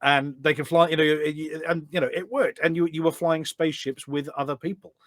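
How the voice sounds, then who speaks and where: A man's voice: fairly high pitch, fairly even intonation, a little fast, fairly bright tone, dry recording, studio quality. A middle-aged man chatting casually as a podcast guest, enthusiastic and full of anecdotes. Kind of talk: casual chat. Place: indoors at a desk at home.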